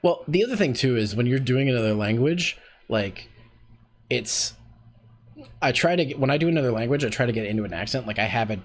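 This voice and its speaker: Chatty self-deprecating man, lively voice. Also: mid-range voice, fairly quick. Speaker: voice acting workshop host. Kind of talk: casual livestream teaching.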